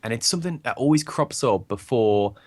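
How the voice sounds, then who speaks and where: Croaky young man. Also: medium pitch, lively intonation, a little fast, a little mellow. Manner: rambling, open, laughs often. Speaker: podcast co-host. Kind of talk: casual chat.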